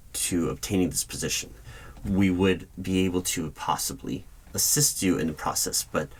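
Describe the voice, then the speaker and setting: A man playing a polite, guarded hiring manager in an ASMR interview roleplay, speaking aloud in a measured, formal, deliberate way with pauses. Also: low voice, slightly rough, low-quality recording. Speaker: roleplay performer as job interviewer. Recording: steady noise with keyboard typing clicks. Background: computer keyboard typing and clicks.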